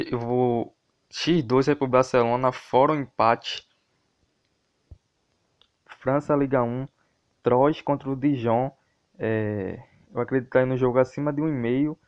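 A man's voice: mid-range voice, clean tone, dull audio. A man with a Brazilian accent hosting football tips, talking informally and directly to his viewers in a casual commentary style. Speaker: host of a football betting tips channel. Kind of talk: casual sports tips commentary over a screen recording.

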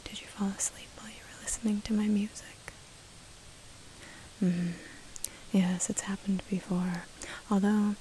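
A woman in her twenties playing a curious, kindly wood nymph in an ASMR roleplay, whispering softly and musing hesitantly close to the mic. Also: medium pitch, poor audio. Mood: shy, mildly reproachful. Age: 20s. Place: outdoors in woodland. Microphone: close to the microphone. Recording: close whispered recording with hiss.

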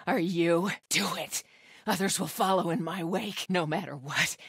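Husky, breathy female cult zealot. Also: fairly low pitch, dry recording, studio quality, exaggerated voice. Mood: solemn, devout. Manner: slow, solemn, hushed. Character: devout, grimly calm female cultist warrior. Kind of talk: video game voice lines, solemn prayer-like murmurs.

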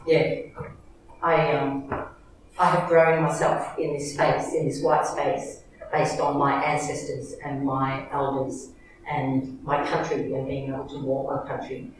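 A woman's voice: extremely low pitch, level tone, a little slow, background noise. A middle-aged woman with an Australian accent, introducing a guest speaker at a university event in a casual, chatty way with many fillers and self-corrections. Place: large university lecture hall. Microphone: lectern microphone, recorded from far back. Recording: echoey hall from far off.

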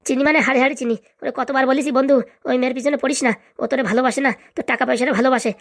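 A performer voicing an indignant, boastful young man in a comedy cartoon, excitable and swaggering, with a rural Bengali accent. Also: sits high, very fast. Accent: Bengali, rural dialect.

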